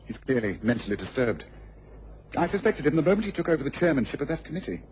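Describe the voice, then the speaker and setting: A male actor plays an incisive gentleman detective who is used to command. He talks quickly and crisply, with lively pitch and assured authority. It is radio drama acting on a dull, lo-fi recording. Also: lightly acted. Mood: satisfied. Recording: dull, lo-fi old radio recording with faint hiss.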